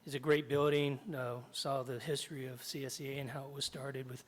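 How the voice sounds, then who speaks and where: A middle-aged man, slightly hoarse after jaw surgery, reading prepared remarks at a podium in a large meeting hall and stopping often to clear his throat. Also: mid-range voice, little pitch movement, brisk. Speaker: union chapter president. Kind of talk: public comment at a board meeting. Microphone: podium microphone.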